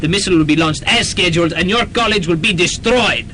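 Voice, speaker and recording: A man's voice: high-pitched, fast, sharp tone, slight background noise. A young man playing a bossy terrorist leader in a college radio drama, blustering and defensive. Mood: commanding. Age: young adult. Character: bossy male terrorist leader, touchy about his authority.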